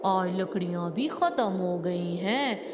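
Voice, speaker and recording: A woman's voice: on the low side, animated, slow pace, music in the background, voice pushed down. A woman voicing a miserly, thieving male crow who grumbles reluctantly in a cartoon story. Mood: grumbling. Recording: noisy, long hall-like reverb.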